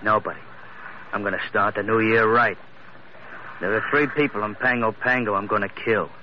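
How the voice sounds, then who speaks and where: Man playing a low-voiced, surly American stowaway. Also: fairly even intonation, moderate pace, close to an everyday voice. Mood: sullen, menacing. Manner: surly, tough, slangy, grudging. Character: sullen, burly, vengeful male stowaway. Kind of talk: 1940s radio adventure acting. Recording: muffled old radio recording, faint noise.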